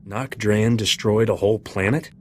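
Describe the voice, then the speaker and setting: Cocky low-voiced smuggler captain. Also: male voice, fairly even intonation, moderate pace, breathy edge. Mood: curious, flirting. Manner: cocky, easygoing. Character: roguish, flirtatious male smuggler captain. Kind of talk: video game voice acting.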